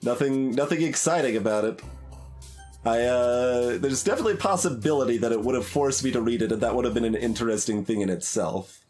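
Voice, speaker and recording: A man's voice: mid-range voice, fairly quick, darkish tone, soft background music. A man giving casual video game commentary as a streamer, hesitant and thinking aloud as he plays. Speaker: gaming streamer.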